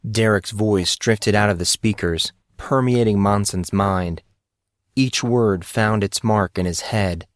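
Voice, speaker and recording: Guy narrating an audiobook story, lowish voice, really dry recording. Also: slow, dry recording.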